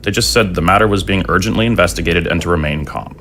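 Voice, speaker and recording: A man acting a weary, level-headed middle-aged father in an audio drama, speaking in a measured, plain way. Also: deep voice, fairly bright tone, a little hiss or hum. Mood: weary, guarded.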